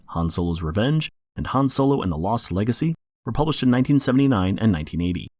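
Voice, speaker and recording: A man's voice: medium pitch, dry recording, studio quality. A man hosting a podcast in scripted, informative narration. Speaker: podcast host.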